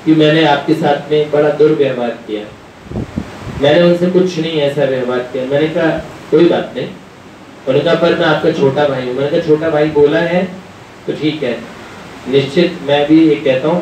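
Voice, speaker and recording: A heavily reverberant recording, picked up from a distance with some rumbling noise, of a man giving a devotional talk. He speaks as a spiritual teacher in an informal, conversational style. He wanders through anecdotes and voices bits of dialogue as he goes. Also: darkish tone. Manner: conversational, rambling storyteller, often quoting dialogue. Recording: very echoey room, distant mic, rumbling noise.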